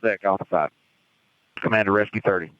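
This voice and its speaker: Fast clipped man on a dull radio. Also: on the low side, clear, resonant tone, dry recording. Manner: clipped, businesslike commands. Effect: two-way radio. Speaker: fire incident commander. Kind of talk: terse emergency radio dispatches. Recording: dull, band-limited fireground radio traffic.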